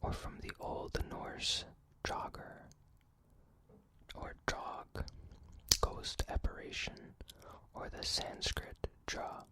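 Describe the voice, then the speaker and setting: A person whispers through an ASMR reading without ever voicing the words. The delivery is very slow and careful, broken into short phrases with pauses between them. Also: bright, forceful tone. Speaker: reader.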